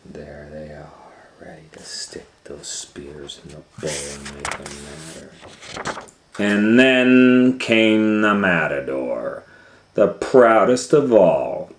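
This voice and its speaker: A man reading a children's storybook aloud as a host, in a dramatic, teacherly manner, pausing to comment and ask questions. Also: rumbling low, very animated. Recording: echoey room with faint noise.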